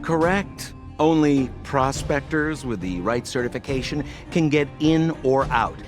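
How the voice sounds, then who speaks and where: A man playing a smooth-talking, boastful young swindler guide in a video game, with a theatrical, wheedling salesman's manner. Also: medium pitch, measured pace, over music, lightly acted. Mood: breezy explaining.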